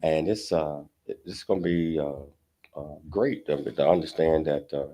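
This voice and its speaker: An American man hosting a casual talk show in a halting, rambling way with repeated words. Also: very deep voice, mellow.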